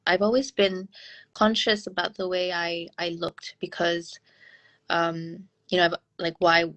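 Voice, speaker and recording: Slightly low-voiced woman, casually reflective. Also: a bit bright. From Brunei. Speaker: interview guest.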